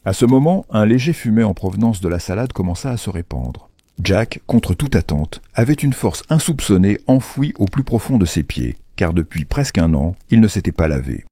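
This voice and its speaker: A man narrating a children's fairy tale from a script in an expressive, playful storytelling manner. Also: fairly low pitch, normal speed, dry recording.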